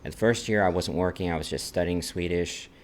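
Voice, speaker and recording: A man's voice: deep voice, a little fast, a little hiss or hum. A man from Texas with an American accent, talking about his own life as a vlogger. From Texas, United States. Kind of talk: personal talk to camera, numbered list.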